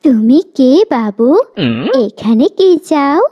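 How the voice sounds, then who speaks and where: A woman's voice: high voice, takes it slowly. A woman voicing a playful, coaxing snake queen in a comic cartoon, with theatrical delivery. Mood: proud, theatrical. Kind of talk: Bengali comic cartoon dialogue. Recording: dull audio with faint noise.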